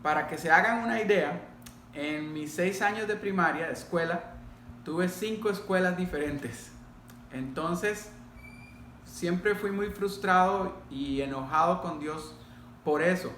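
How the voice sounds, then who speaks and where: A middle-aged Costa Rican man speaks in a fairly high voice, as a pastor sharing his testimony. He talks deliberately, pausing and addressing listeners directly. The room is clearly echoey, with a faint layer of noise behind him. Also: fairly bright tone. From Costa Rica. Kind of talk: personal testimony told to a congregation.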